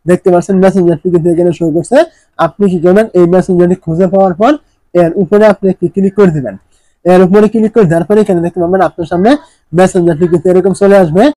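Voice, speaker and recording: Man, fast pace, close dry sound, repetitive instructional tutorial narration, question-and-answer phrasing. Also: high voice, fairly even intonation, dark tone, no echo, low-quality recording. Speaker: tech tutorial presenter. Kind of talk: step-by-step phone tutorial narration.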